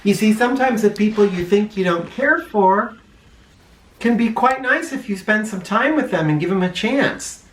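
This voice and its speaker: A man's voice: sits high, expressive, normal speed, a little hiss or hum. A man reading a children's story aloud expressively, with dramatic emphasis, as if speaking straight to young listeners. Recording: roomy, echoing, somewhat distant microphone.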